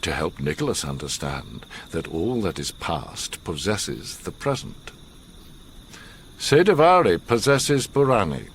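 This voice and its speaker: An actor playing an older, learned gentleman who recounts his past gravely, with measured, theatrical pauses, in a radio drama. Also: low-pitched, very animated, a little hiss or hum, heavily acted. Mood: solemn.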